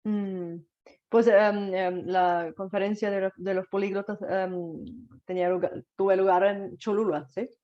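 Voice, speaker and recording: Woman, middle pitch, soft tentative questions, lots of fillers, muffled lo-fi call. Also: fairly even intonation, a little slow. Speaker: interviewer. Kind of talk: casual conversation in a second language. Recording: slightly dull lo-fi audio.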